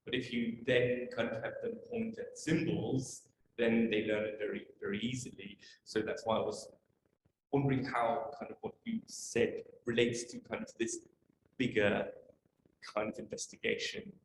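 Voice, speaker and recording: Gravelly-voiced man asking a tentative question. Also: fairly low pitch, steady tone, moderate pace. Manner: tentative, heavily hedged with "kind of" and "like". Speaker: audience questioner at an academic talk. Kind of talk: casual, unscripted academic question. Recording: echoey room with faint noise.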